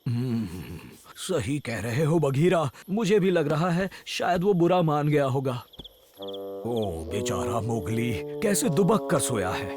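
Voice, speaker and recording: A man's voice: mid-range voice, big pitch swings, slow, a little mellow. A man voicing a kindly, fatherly bear in a children's cartoon, speaking expressively. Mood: tender concern. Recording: music behind the voice.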